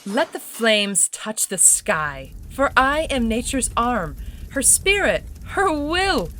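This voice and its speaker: Audio-drama villainess played by a woman, seductive nature goddess, theatrical, high-pitched, some noise behind. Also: sing-song, slow pace, bright, forceful tone, exaggerated voice. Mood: grandiose, vengeful. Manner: theatrical, declaiming, grandiose.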